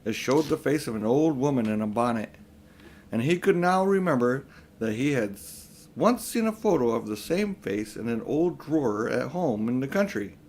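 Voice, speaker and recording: Older male, audiobook reader, storybook narration, medium pitch, animated intonation. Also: moderate pace, slight background noise.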